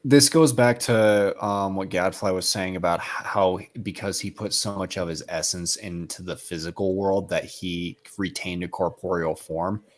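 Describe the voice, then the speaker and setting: A man's voice: low-pitched, steady tone, moderate pace, few pauses. A man co-hosting a casual book discussion, talking in an easygoing, informal way. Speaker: co-host.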